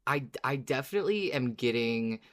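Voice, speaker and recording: A woman's voice: rumbling low, animated, moderate pace. A woman co-hosting a podcast, talking casually as a fan about a story. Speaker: podcast co-host. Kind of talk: casual fan discussion of an audio drama.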